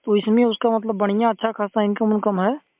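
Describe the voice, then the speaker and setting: High voiced guy on a tinny dry phone line, casual, kinda hesitant, agreeable, keeps rephrasing. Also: flat delivery, brisk, no room sound. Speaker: caller seeking advice. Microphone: phone call. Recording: tinny narrowband phone call recording.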